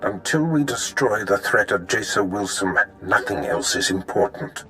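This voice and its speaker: An actor playing a calculating, imperious Sith master in a video game, speaking in a measured, formal way. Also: male voice, fairly low pitch, animated, bright, forceful tone, soft background music. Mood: cold, commanding. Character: menacing Sith master, calculating, imperious older male villain. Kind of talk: cinematic game voice acting.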